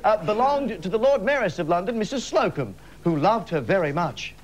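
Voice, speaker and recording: Animated Australian man hosting comedy. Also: high voice, a little fast, dull audio. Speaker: comedy show host and storyteller. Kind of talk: mock bedtime story narration with ad-libs. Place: television studio, live. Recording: noisy live TV studio sound.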